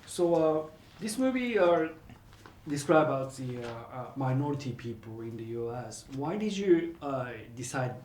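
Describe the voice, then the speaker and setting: A man asks his questions in careful, halting English. His pitch rises and falls in a lively way, and his voice echoes in the room. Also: a little hiss or hum. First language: Japanese. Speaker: interviewer.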